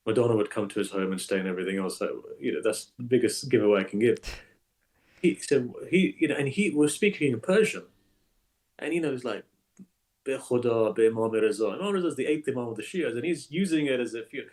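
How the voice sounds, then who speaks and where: Emphatic, animated male imam guest. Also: mid-range voice, quick pace, rough recording. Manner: animated, emphatic, repeats phrases. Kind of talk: conversational interview.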